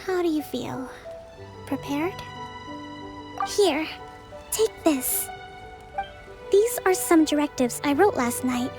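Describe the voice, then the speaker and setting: Gentle young game strategist, high-pitched, composed, articulate, formal, soft background music. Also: female voice, lively intonation, slow, long pauses, full character voice. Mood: composed, caring. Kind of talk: video game voice acting. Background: faint game background music.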